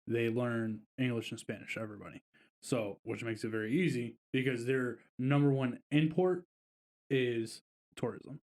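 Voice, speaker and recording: A man's voice: mid-range voice, steady tone, normal speed, darkish tone. A man co-hosting a casual podcast, telling a long, rambling vacation story with plenty of fillers. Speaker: podcast co-host.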